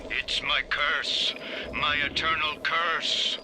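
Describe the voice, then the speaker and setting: A man's voice: fairly high pitch, little pitch movement, slow. A male actor playing a flamboyant, unhinged, sadistic artist in a video game, with a theatrical, grandiose delivery. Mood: brooding, poetic. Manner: theatrical, grandiose, swinging from hushed whispers to outbursts. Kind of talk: dramatic video game monologue. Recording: loud music and noisy ambience underneath.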